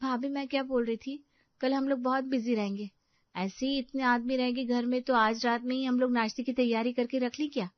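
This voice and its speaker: Woman voicing high-pitched dutiful young daughter-in-law. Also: fairly even intonation, fairly quick, dry recording, highs cut off, close to an everyday voice. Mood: eager. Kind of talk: Hindi family story acting.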